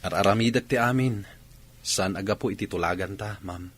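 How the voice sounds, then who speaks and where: An actor as an ardent young Ilocano suitor in a radio play. He is earnest and respectful, with a fairly low, husky voice. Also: brisk, lightly acted. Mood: deferential. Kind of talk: radio drama acting.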